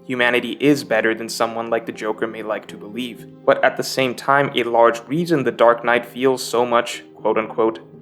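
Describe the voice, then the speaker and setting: Male video essay narrator, mid-pitched, measured and emphatic, scripted analysis with faint guitar music behind. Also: fairly bright tone.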